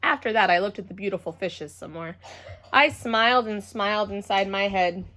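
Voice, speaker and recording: A woman reading a children's book aloud as a storyteller, expressive and dramatic, telling it as the child narrator. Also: mid-range voice, moderate pace.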